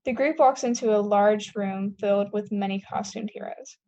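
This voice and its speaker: A woman's voice: mid-range voice, moderate pace, a little mellow, lo-fi sound. A young woman reading stage directions as scripted narration at a table read.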